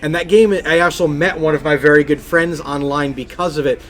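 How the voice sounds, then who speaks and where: Emphatic, higher-pitched man on a gaming podcast. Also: steady tone, a little fast, fairly bright tone. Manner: emphatic, effusive, repeats phrases for stress. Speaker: podcast co-host. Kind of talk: casual video game podcast chat.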